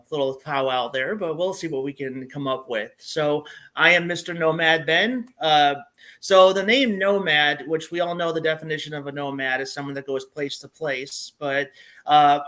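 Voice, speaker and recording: A man's voice: fairly high pitch, a bit bright. Rambling man with a country accent. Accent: Southern US country. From Illinois. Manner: friendly, rambling, restarts a lot. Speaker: guest vlogger. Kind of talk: casual interview talk.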